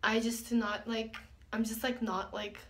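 A young woman speaking quietly and hesitantly into a phone camera in a small tiled bathroom, often pausing on "like". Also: fairly high pitch, flat delivery. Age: young adult. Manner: quiet, hesitant, many likes. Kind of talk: casual reflective chat to camera. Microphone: phone held at arm's length.

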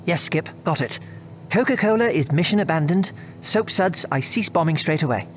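A man's voice: a little high, lively intonation, normal speed, a little hiss or hum, close to an everyday voice. An actor playing a modest, polite bomber airman in a radio drama, earnest and understated. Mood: eager, deferential.

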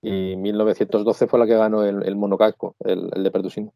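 A man's voice: on the low side, flat delivery, fairly quick, vocal fry, dull audio. An older man acting as a museum guide, chipping in with short, precise facts on a video call. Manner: terse, precise corrections. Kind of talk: casual expert chat.

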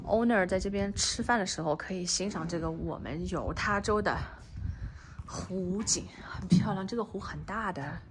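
A woman's voice: mid-range voice, normal speed, background noise. A woman real estate agent walking through a house under construction, chatting casually and mixing English terms into her talk. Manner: drops to a soft, near-whispered voice at times. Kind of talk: casual walkthrough tour. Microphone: handheld phone camera.

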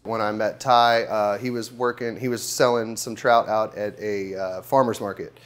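A man in his thirties with a lower voice, a chef talking easily with plenty of fillers. He is recorded on a lapel mic in a restaurant dining room. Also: steady tone, normal speed. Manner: easygoing, with many uh fillers. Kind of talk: casual interview.

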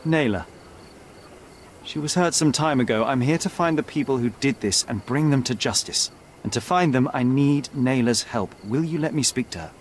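An actor playing a young detective in a game, articulate, precise and self-assured. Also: male voice, medium pitch, normal speed, a little hiss or hum, natural voice. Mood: earnest. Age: young adult. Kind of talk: dramatic game cutscene dialogue. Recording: faint night ambience behind.

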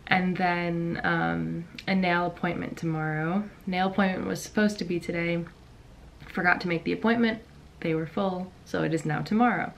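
A young woman vlogging casually from her bedroom, chatty and rambling with lots of ums and likes, her voice raspy from just waking up. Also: on the low side, steady tone, normal speed, a little hiss or hum. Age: young adult. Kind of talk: casual vlog chat. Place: bedroom at home. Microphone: camera in front at desk.

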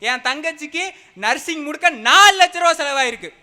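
A young man delivers a fiery rally speech in a very high voice. His tone is piercing and sharp. He swings between street slang and formal oratory with strong emphasis. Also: normal speed. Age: young adult. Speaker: party speaker at a public meeting.

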